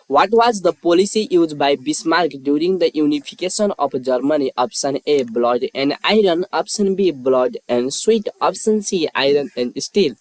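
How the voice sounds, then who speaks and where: A man hosting a quiz, reading scripted questions and lettered options in a measured, formulaic way. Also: fairly high pitch, expressive, no echo, rough recording. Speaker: quiz host.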